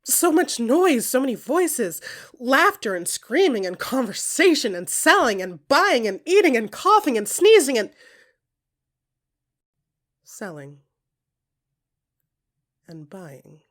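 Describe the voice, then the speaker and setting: A woman with a husky, breathy voice narrates an intimate audio drama addressed straight to the listener. Her delivery is expressive and often hushed, moving between soft musing and more emphatic phrasing. She sets short phrases apart with deliberate pauses. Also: high-pitched. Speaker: narrator and performer of an audio fiction podcast. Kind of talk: intimate second-person dramatic storytelling.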